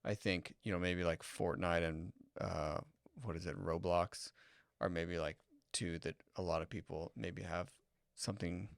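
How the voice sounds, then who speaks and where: A man co-hosting a podcast, giving relaxed, thoughtful opinions in casual chat. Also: deep voice, unhurried, gravelly, smooth, dry recording, clean studio sound. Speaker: podcast co-host.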